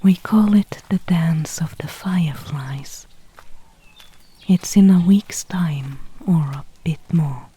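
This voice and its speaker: A woman acts out a gentle elven ranger in a fantasy ASMR roleplay. She speaks in a very breathy, hushed whisper at a slow, unhurried pace, kindly and thoughtful, with a soft, mellow tone. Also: low voice, full character voice. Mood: gentle, fond.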